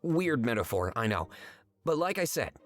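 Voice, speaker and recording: An actor voicing an earnest, slightly nervous furry swindler-writer who confides hesitantly in a visual novel game. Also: male voice, mid-range voice, big pitch swings, moderate pace, soft background music, lightly acted. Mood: confiding, sincere.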